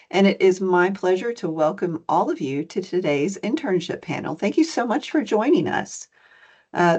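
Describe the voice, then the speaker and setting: A woman's voice: fairly low pitch, a little mellow. Woman moderating a muffled video call. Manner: welcoming, methodical, with frequent fillers. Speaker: program director and panel moderator. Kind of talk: hosting an online panel.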